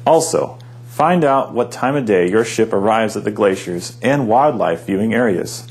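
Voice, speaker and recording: Park ranger guy giving tips, really lively up and down voice, upbeat scripted guide. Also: lowish voice, moderate pace. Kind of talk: scripted informational narration.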